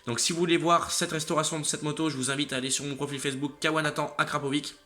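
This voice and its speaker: A young man in his late teens talking to viewers in a casual vlog. He is exhausted and a little stuffed up from a cold, so his speech is halting, often trailing off and starting over. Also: on the high side, steady tone, pushed and bright, slight background noise. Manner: halting, trailing off and restarting sentences. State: exhausted and slightly congested. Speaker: motorcycle channel host.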